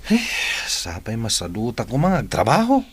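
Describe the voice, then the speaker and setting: An actor playing a lazy, sleepy young husband in a radio drama, grumbling with an Ilocano accent. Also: male voice, medium pitch, huge pitch swings, moderate pace, husky. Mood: grumbling reluctance. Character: lazy young married man, sleepy farm labourer.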